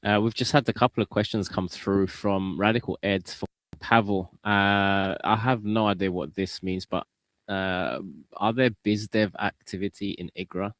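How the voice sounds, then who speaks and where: Lower-voiced man reading questions. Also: fairly even intonation, moderate pace, no echo. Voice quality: hesitant, filler-heavy. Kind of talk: casual live-stream moderation.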